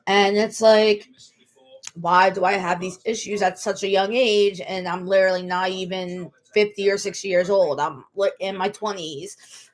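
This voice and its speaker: A woman's voice: medium pitch, fairly even intonation, moderate pace, rough recording. A woman in her late twenties talking casually to the camera in a personal vlog, rambling, repeating herself and restarting her sentences. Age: 20s. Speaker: vlogger.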